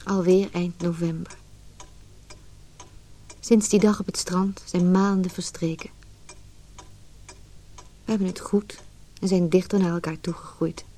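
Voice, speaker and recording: Radio play casting: unhappy, determined wife, slightly low and soft-toned, restrained delivery. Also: female voice, fairly even intonation, measured pace, long pauses. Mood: wistful, uneasy. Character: resolute, unhappy wife leaving her marriage. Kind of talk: radio drama acting, dialogue and reflective monologue.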